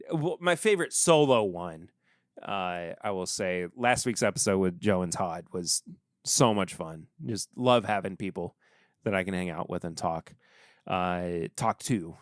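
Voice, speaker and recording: Chatty man with a lively voice. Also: mid-range voice, clear, resonant tone, no echo, clean studio sound. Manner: rambling, chatty, joking asides. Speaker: podcast host. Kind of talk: casual solo podcast outro.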